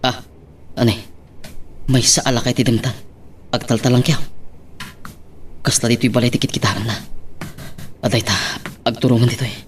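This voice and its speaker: An actor playing a polite, responsible brother in a radio drama, speaking deferentially. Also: male voice, mid-range voice, fairly even intonation, moderate pace, long pauses, lightly acted. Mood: polite, puzzled. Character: polite, responsible adult brother of a troublesome sibling. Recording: faint background ambience noise.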